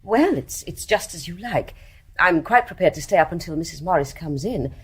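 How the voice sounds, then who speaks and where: An actress with a British accent playing a reserved, dutiful private nurse, polite and guarded, in a radio play. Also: female voice, lowish voice, lively intonation, normal speed, slightly rough.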